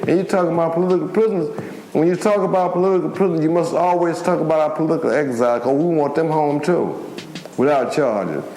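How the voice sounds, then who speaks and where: A man's voice: on the high side, darkish tone. An older man giving an impassioned political speech in an emphatic, colloquial way, repeating phrases for emphasis. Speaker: political activist and former militant speaking. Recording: echoey room with music behind.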